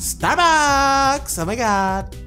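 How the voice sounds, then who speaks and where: Brash young female pro gamer for a game played by a man: raised pitch, blunt, bossy, sarcastic, music underneath. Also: animated, very slow, pushed and bright, exaggerated voice. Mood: dismissive.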